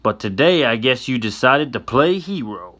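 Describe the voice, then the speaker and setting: A man voicing a crooked, blustering old-West sheriff who barks his words loudly and with scorn. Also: medium pitch, very animated, normal speed. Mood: mocking. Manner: loud, gruff, scornful barking.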